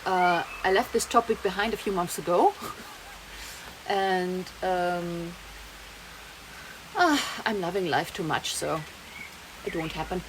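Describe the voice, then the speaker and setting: Brief: young German-accented woman, lower voice, rambling casual vlog chat, rain noise behind. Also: takes it slowly, long pauses. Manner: rambling, chatty, laughs often, slips German words in. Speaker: vlogger. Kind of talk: casual monologue to camera. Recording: noisy background, rain heard.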